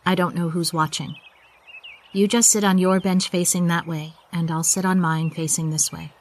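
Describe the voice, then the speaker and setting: An actress playing a middle-aged female spy agency chief in an audio drama, guarded and weary, speaking in a clipped, hushed manner. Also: fairly low pitch, fairly even intonation, moderate pace. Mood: guarded, suspicious.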